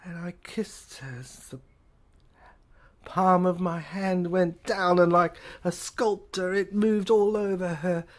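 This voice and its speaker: A woman narrating an audio play in an intimate, hushed way, halting phrase by phrase. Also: lowish voice.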